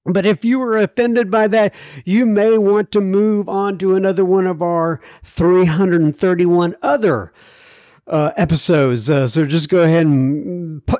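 A folksy American man hosts a podcast in a high-pitched voice. He rambles chattily through his introductions and questions, and the recording is close and dry. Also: a little mellow, no echo. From United States. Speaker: podcast host. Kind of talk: casual podcast intro and interview.

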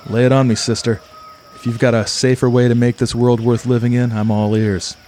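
A man's voice: mid-range voice, little pitch movement, fairly quick, darkish tone, a little hiss or hum, lightly acted. A man playing a hard-boiled, macho mercenary who narrates in tough-guy slang like a pulp radio play. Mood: cocky. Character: hard-boiled, swaggering male mercenary turned cosmic-love believer.

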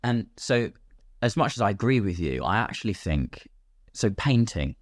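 A man's voice: fairly low pitch, big pitch swings, normal speed, no room sound, clean studio sound. A British man talking casually as a podcast guest, explaining his craft and acting out imagined questions as he goes. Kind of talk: casual conversational explanation of filmmaking.

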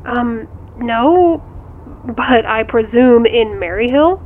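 A woman's voice: on the high side, expressive, slow pace. A woman acting in an audio drama as a worried, blunt friend, heard through a phone call filter. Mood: defensive. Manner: blunt, exasperated, concerned. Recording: muffled phone call, faint car noise.

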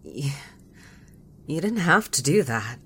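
A woman playing an odd, gentle, worried soul in an audio drama, speaking haltingly in fragments and murmured repetitions. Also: low-pitched, very animated, slight background noise, heavily acted. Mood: withdrawn.

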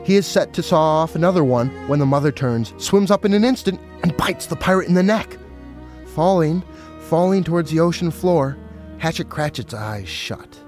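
A man's voice: a little high, lively intonation, normal speed, darkish tone. A man reading a scripted story as a narrator. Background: violin music.

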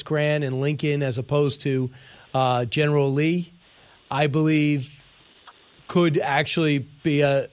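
A male radio host talking briskly and conversationally, giving opinions and taking calls. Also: fairly high pitch. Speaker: radio talk show host. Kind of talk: talk radio.

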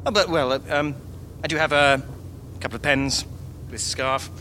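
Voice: mid-range voice, fairly even intonation, normal speed, sharp tone, natural voice. An actor playing a pompous, self-important male writer who boasts and stammers in a live comedy audio drama. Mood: flustered. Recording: noisy live stage recording.

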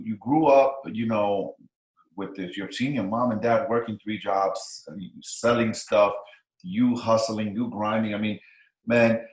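A man hosting a casual interview, speaking with enthusiasm and encouragement and repeating phrases for emphasis. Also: mid-range voice. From Dominican Republic. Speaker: interview host. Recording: echoey room.

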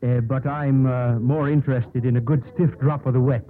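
An actor playing a bashful, respectful sailor with a halting manner, in 1950s radio drama style. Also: male voice, medium pitch, steady tone, slight background noise, close to an everyday voice. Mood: sheepish. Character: bashful, thirsty sailor escorting his mistress. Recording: tinny, narrow 1950s radio broadcast.

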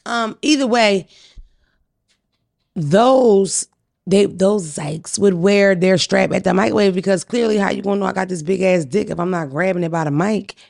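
Young woman comic, gravelly, dry close sound, loud and profane. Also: mid-range voice, moderate pace, dry recording. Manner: brash, profane storytelling. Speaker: comedian guest. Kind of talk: casual podcast chat.

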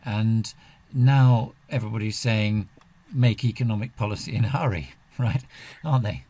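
A man hosting an informal discussion, asking questions in a halting, wry manner. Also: fairly low pitch, mellow. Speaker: podcast host.

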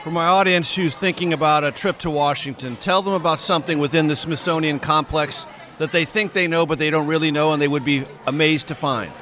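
Thoughtful male interviewer, measured questions. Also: a little high, pushed and bright, soft background music. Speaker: interviewer and host. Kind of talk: interview over lunch.

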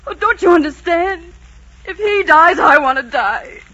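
A woman's voice: extremely high pitch, animated, a little slow, bright, forceful tone, exaggerated voice. A woman playing a passionate, desperate young innkeeper's daughter, pleading emotionally in 1940s radio melodrama style. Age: young adult. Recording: dull, scratchy 1940s radio recording.

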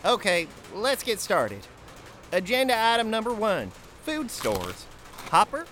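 A man's voice: sits high, wildly swooping pitch, a little slow, pushed and bright, soft background music, heavily acted. A man playing an exasperated, no-nonsense meeting leader who keeps order in a firm, plain-spoken, folksy way. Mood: businesslike.

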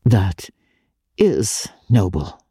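A woman playing a worldly, wounded aristocratic woman in a dramatic, measured audiobook reading with halting pauses. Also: rumbling low, very animated, dark tone, husky, no echo, clean studio sound, pitched below the performer's own voice, exaggerated voice. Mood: subdued, probing. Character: worldly, wounded aristocratic woman, low-voiced then passionately indignant.

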